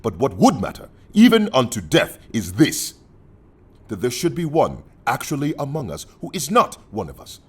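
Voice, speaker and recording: An actor playing an imposing, mocking male leader of an anarchist council in a radio drama, husky, commanding and theatrically courteous. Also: mid-range voice, wildly swooping pitch, moderate pace, darkish tone. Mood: ominous accusation.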